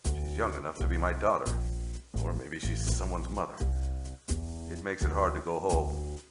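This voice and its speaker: Actor as haunted middle-aged detective, noir audio drama. Low, brooding, confessional, echoey, loud guitar underneath. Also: male voice, moderate pace, lightly acted. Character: haunted, world-weary middle-aged male homicide detective.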